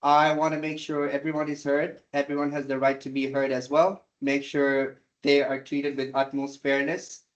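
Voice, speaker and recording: A man in his twenties from Sri Lanka, speaking as a student candidate and pausing often with "uh". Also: medium pitch, level tone, lo-fi sound. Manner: halting, frequent "uh" fillers. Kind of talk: candidate introduction and answer.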